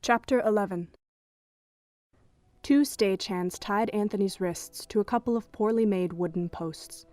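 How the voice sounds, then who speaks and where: A woman's voice: mid-range voice, measured pace, warm tone, no room sound. A woman reading scripted third-person narration for an audio drama as its storyteller. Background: music.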